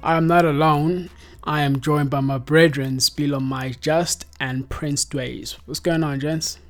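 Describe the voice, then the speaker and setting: A man's voice: a little high, unhurried, faint music behind. A man in his twenties from South Africa, hosting a casual podcast chat and rambling easily with lots of fillers. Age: 20s. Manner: relaxed, rambling with many fillers. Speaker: podcast host.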